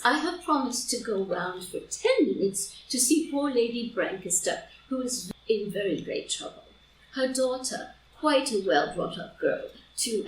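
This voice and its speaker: A woman playing an elderly, genteel society lady who rambles confidentially and is easily scandalised, in a live reading of a period comedy. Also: a little high. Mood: gossipy, mournful. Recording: roomy, distant mic, faint noise.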